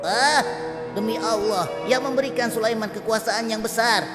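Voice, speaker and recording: A small, earnest hoopoe messenger voiced by a woman speaks in a high, youthful voice for a children's cartoon. The bird is deferential and given to exclamations. Loud music plays behind the lines. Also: moderate pace, natural voice.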